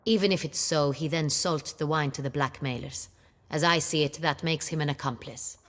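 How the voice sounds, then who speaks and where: An actress playing a commanding duchess in a fantasy video game, speaking formally and with imperious authority. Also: female voice, low-pitched, moderate pace, fairly bright tone, no echo. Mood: anxious impatience. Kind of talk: dramatic video game acting.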